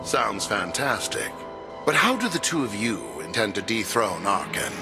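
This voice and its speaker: Grandiose, menacing zealot cult leader. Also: male voice, lowish voice, big pitch swings, moderate pace. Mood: solemn menace. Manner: grandiose, solemn, ominous declarations. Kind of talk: scripted video game cutscene acting. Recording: dramatic music behind.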